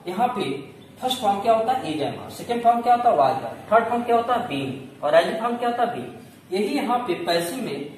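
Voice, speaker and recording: A man's voice: high voice, a little mellow. A man teaching a grammar lesson step by step, asking questions, answering them himself and repeating key points. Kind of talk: classroom lesson, explaining step by step. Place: echoey room. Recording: very echoey room, distant mic, faint noise.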